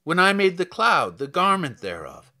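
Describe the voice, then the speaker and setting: A man's voice: high-pitched, very animated, moderate pace, sharp tone, heavily acted. A man reading scripture as a stern, commanding God, declaiming solemn rhetorical challenges in archaic English.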